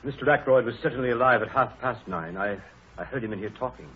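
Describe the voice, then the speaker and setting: An actor playing a correct, reserved private secretary with a raspy voice, in 1930s radio drama style. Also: male voice, medium pitch, fast talker, natural voice. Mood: careful. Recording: dull 1930s radio broadcast.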